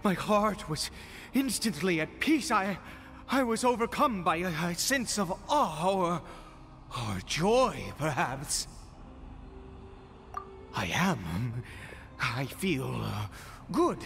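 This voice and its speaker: An actor playing an earnest, troubled father in a video game, speaking in a halting, expressive, awestruck way. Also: high voice, gravelly, soft background music, heavily acted. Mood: dazed wonder. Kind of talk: scripted video game voice acting.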